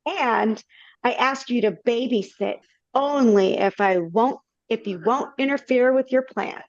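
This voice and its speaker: Woman, plain matter-of-fact reading, slightly high, dull dry video-call sound. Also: measured pace, no room sound. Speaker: reader of stage directions and the stepmother part. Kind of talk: live read-through over video call.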